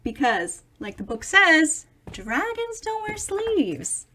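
A woman's voice: very high-pitched, sing-song, slow pace, sharp tone. A middle-aged woman reading a children's picture book aloud, expressively, with chatty explanations for young listeners. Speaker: storybook reader for children.

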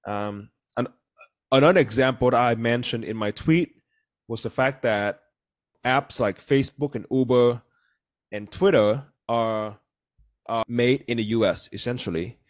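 A man's voice: mid-range voice, unhurried. A man in his thirties, Vietnamese as his first language, hosting a casual podcast over a video call and explaining points earnestly with many "you know"s. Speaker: podcast host.

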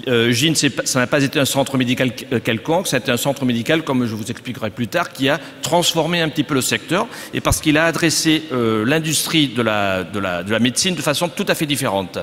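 A middle-aged man with a Spanish accent speaks frankly from a conference panel, stopping now and then to hunt for a French word. His voice sits at an ordinary pitch. It carries a long reverb from a large hall. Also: fast talker, few pauses, a bit bright. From Spain. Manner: frank, hesitant, searching for French words. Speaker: panel guest, gynecologist. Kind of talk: panel discussion. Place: conference stage. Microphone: stand mic at table.

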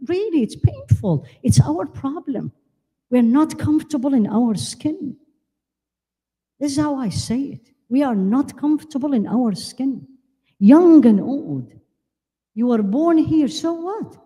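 An older woman born in Iraq, giving an animated religious lecture at a women's conference, holding a handheld mic and asking the audience questions. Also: high-pitched, unhurried. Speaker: Islamic lecturer. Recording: long hall reverb.

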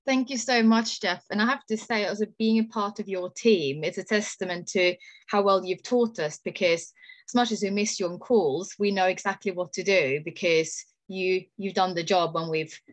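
Woman in her thirties, native Norwegian speaker, medium pitch, informal testimonial, video call, dry sound. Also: normal speed, no echo. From Norway. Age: 30s. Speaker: product distributor giving a testimonial. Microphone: video call, computer microphone.

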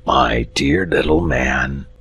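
A man reading a story, voicing a gentle, cowardly male dragon with a slow, polite drawl. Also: rumbling low, animated, no echo, heavily acted. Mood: lazy.

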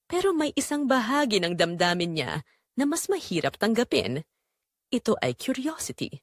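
A woman's voice: lowish voice, dry recording, clean studio sound. A woman narrator reading a scripted dramatic story.

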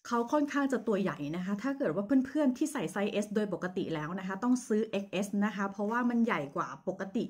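A woman in her thirties from Thailand chatting to viewers in a casual haul vlog, recorded on a phone in a small bright room. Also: medium pitch, expressive, quick pace, hardly any pauses, husky. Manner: chatty and friendly, addresses viewers often. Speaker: vlogger.

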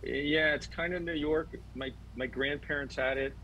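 A man's voice: a little high, steady tone, normal speed, bright, forceful tone, highs cut off. A man from San Francisco on a video-call panel, explaining his point with confidence. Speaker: panel member. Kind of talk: casual chat. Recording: faint background noise.

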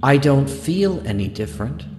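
A man's voice: medium pitch, sing-song, moderate pace, a little mellow, natural voice. A man playing a methodical ship's operator in scripted audio drama hosting dialogue. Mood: focused. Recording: echoey hall-like effect, faint background hum.

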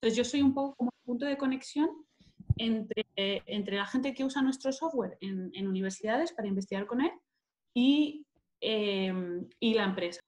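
A woman's voice: on the high side, moderate pace, a little mellow. A woman in her thirties from Madrid, with a Spain Spanish accent, answering interview questions conversationally on a video call. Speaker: interviewee, market manager and scientist. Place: indoors, plain-walled room, video call. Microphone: earphone headset on video call.

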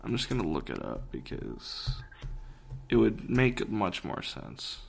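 Gravelly low-voiced man, dry and offhand. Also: very animated, measured pace, slight background noise. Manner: dry, self-deprecating, mumbles while searching online. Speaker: podcast co-host. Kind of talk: casual chat.

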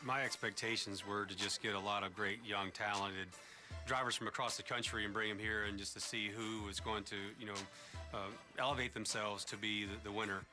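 Relaxed middle-aged male manager, low voice, over music. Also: brisk. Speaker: team general manager. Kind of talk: interview sound bites.

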